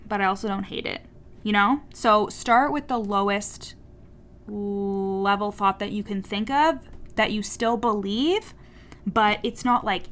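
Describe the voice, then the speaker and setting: Candid woman coach with a stutter. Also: mid-range voice. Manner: candid, swears casually, animated. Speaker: podcast host and coach. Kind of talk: casual solo podcast talk.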